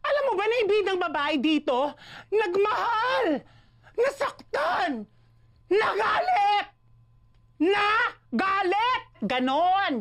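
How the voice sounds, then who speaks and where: A woman's voice: very high-pitched, lively intonation, a bit bright, heavily acted. A woman playing a brash, impatient, sarcastic radio drama director in a comedy film, theatrical and exasperated. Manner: rapid-fire, exasperated, theatrical.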